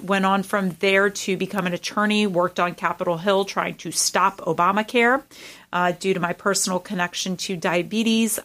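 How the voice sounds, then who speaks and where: A woman's voice: mid-range voice, fairly bright tone. A woman in her forties, a native Farsi speaker, talking earnestly and emphatically as a guest in an interview, with frequent "um" fillers. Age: 40s. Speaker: political candidate guest. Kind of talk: conversational interview.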